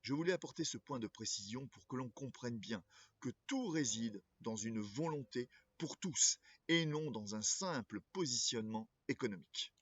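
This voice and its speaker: A man speaking as a local political candidate, reading a scripted address in a formal, measured way and occasionally stumbling over words. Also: medium pitch, lively intonation, fairly bright tone, no echo, highs cut off.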